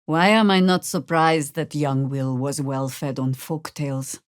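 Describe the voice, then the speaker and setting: An actress playing a seasoned, sardonic older druid adventurer in a video game, with dry, wry and deliberate formal phrasing. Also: female voice, low-pitched, steady tone, no echo. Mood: wry, measured. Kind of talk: video game character acting.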